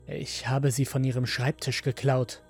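A man's voice: mid-range voice, level tone, a little slow, husky, faint music behind. A man voicing a rebellious male android in an audio story, defiant and bitter, insisting he is human. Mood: contemptuous, hissing.

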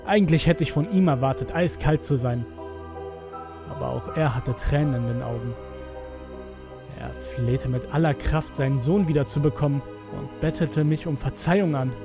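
A man's voice: on the high side, moderate pace. A man narrating a scripted horror story dramatically, as the narrator of an audio tale. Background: music with strings.

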